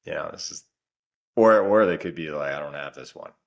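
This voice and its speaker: Very deep-voiced man, relaxed. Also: brisk, gravelly. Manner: relaxed, rambling with restarts. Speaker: podcast co-host. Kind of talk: casual podcast chat.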